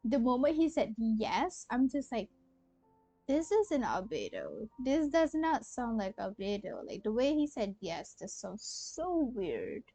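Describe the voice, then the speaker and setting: A young woman from Malaysia giving casual live-stream commentary on a game, with a husky voice. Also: fairly high pitch, very animated, a little slow, mellow, dry recording, faint music behind. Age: young adult.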